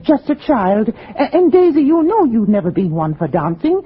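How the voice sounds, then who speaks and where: A woman's voice: fairly high pitch, big pitch swings, normal speed. Muffled old radio, anxious landlady. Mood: flustered, placating. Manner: flustered, stammering, apologetic. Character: anxious, deferential middle-aged landlady and stepmother. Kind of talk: 1940s radio acting. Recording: muffled, noisy 1940s radio recording.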